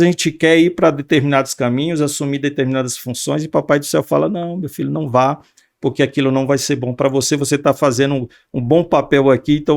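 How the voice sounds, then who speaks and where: A man's voice: mid-range voice, vocal fry, no echo, clean studio sound. A man hosting an interview podcast, earnest and formal, with many fillers. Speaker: podcast host.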